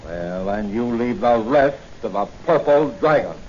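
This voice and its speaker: An actor playing a hard, commanding gang chief in 1940s radio style, curt and menacing. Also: male voice, medium pitch, expressive, measured pace, over loud music, close to an everyday voice. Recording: muffled, noisy 1940 radio recording.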